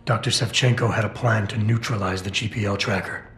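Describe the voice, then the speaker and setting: Man voicing a low, stoic cyborg operative. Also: fairly even intonation, a little fast, a bit bright, lightly acted. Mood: reassuring, determined. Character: stoic, determined male cyborg security operative on a rescue mission. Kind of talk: video game cutscene dialogue. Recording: roomy game cutscene with music. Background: background music.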